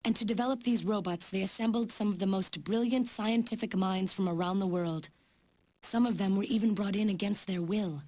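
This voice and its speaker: A woman voicing a grave, sorrowful scientist in a video game, telling of a dark past with dramatic delivery. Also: medium pitch, a little fast, no room sound, lightly acted.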